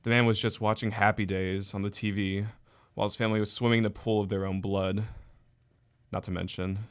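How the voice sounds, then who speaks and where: A man's voice: lowish voice, flat delivery, a little fast, clear, resonant tone, natural voice. A man playing a coolly confident, eerie middle-school boy in an amateur radio drama, speaking matter-of-factly. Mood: ominous, matter-of-fact.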